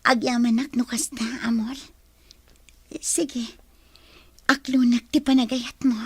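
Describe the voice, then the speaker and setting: A woman acts out a remorseful young Filipino mother in a radio drama. Her voice is very high, with a pleading, humble manner. The sound is dry and close. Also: flat delivery, takes it slowly, darkish tone, breathy edge, no room sound, exaggerated voice. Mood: hushed, tender. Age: young adult. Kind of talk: Ilocano radio drama acting.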